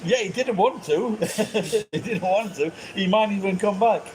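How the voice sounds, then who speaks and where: A man's voice: sits high, unhurried, a crowd in the background. A man from England with a Sheffield accent, ranting bluntly and swearing in casual chat. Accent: Sheffield English.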